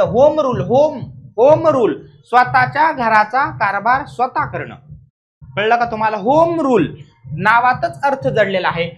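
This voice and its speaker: A man's voice: high-pitched, fairly bright tone. A man teaching an exam coaching lesson, explaining emphatically, repeating key points and often checking understanding with rhetorical questions.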